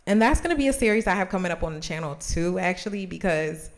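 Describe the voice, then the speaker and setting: A woman's voice: lowish voice, expressive, quick pace. A woman of thirty talking casually to camera as a host, in an encouraging, emphatic and conversational way. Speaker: host of a journaling video series.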